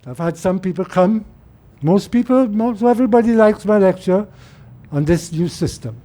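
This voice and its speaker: High-voiced, mellow middle-aged Trinidadian man. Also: normal speed. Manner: rambling, repeats words as he restarts. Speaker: political speaker. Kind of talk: informal monologue.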